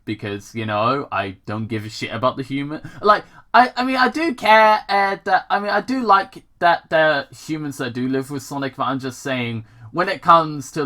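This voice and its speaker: Halting man with very lively, swinging pitch. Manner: halting, stumbling over words, repeating himself; casual, swearing asides. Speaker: gamer host reading fan fiction aloud. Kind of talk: casual read-aloud with commentary.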